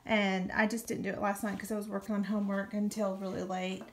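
A woman's voice: mid-range voice, level tone, a little fast, slight background noise. A young woman, chatting casually with many ums while she shows how she cleans her kitchen. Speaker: home cleaning vlogger. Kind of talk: casual talk over a cleaning demo. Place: home kitchen, indoors. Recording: music playing under the voice.

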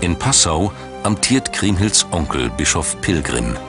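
Deep male doc narrator, measured n dramatic, music behind him. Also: expressive. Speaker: documentary narrator. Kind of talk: scripted documentary narration. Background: background music.

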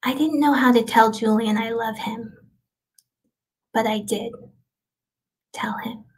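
A middle-aged woman gives a dramatic reading as an actor. Her voice sits fairly high for a woman, with a creaky edge. She speaks softly and reflectively, leaving deliberate pauses. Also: steady tone. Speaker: actor performing a literary piece. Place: indoors, small plain room.

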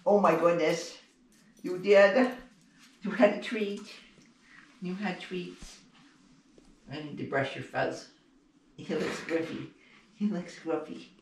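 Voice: mid-range voice, slow, pauses often. A person in casual, doting chat with a pet in a home kitchen, picked up by a distant camera. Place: home kitchen with tiled floor. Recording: echoey room, far from mic, faint noise.

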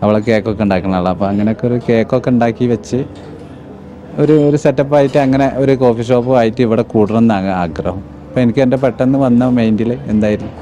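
A man in his thirties interviewed inside his new restaurant, speaking slowly and haltingly with quiet sorrow into a handheld mic. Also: mid-range voice, fairly even intonation. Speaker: interviewee, cafe owner. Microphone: handheld reporter mic.

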